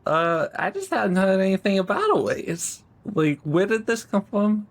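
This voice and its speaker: A man with a fairly high voice talks slowly and loosely at a tabletop game. He jokes, laughs easily and rambles, with a playful edge. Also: big pitch swings. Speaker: player. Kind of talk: casual tabletop role-play.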